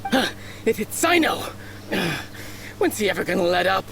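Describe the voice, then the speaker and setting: A woman's voice: mid-range voice, very animated, normal speed, gravelly, noisy background. A woman voicing a tough, curt, exasperated street dealer in a video game. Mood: alarmed. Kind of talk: game voice acting.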